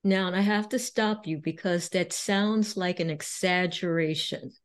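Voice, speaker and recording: A woman hosting an interview, asking polite questions in a deliberate way with occasional fillers. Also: fairly low pitch. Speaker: interviewer, host.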